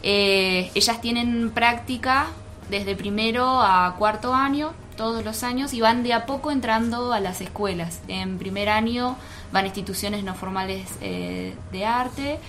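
A woman's voice: on the high side, measured pace, fairly bright tone, slight background noise. A woman with an Argentine accent giving an informal, explanatory account in a radio interview. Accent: Argentine Spanish. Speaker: guest, teaching practice coordinator.

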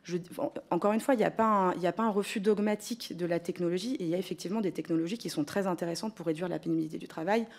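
A woman in her thirties testifying before a parliamentary committee, making her points in a measured way into a desk microphone. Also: on the low side. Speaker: witness at a hearing. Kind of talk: spoken testimony. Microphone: desk gooseneck microphone.

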